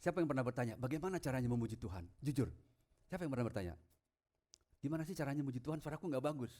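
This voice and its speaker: A man preaching as a pastor, emphatic, questioning the congregation and swinging from low asides to loud proclamation. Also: lively intonation, very fast. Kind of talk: sermon with scripture readings.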